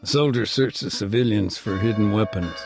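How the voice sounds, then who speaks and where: A man's voice: on the low side, lightly acted. Man playing a weary, reflective aid worker over music. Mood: somber, weary. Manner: measured, hesitant, reflective. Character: weary, earnest male humanitarian aid worker recounting a war. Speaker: humanitarian aid worker being interviewed. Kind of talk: naturalistic video game dialogue, interview recollection.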